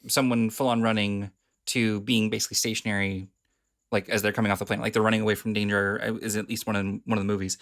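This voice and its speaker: A man's voice: fairly low pitch, level tone, quick pace, a bit bright. Curious podcast guy asking questions. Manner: curious, questioning, many fillers. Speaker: podcast co-host. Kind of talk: casual chat.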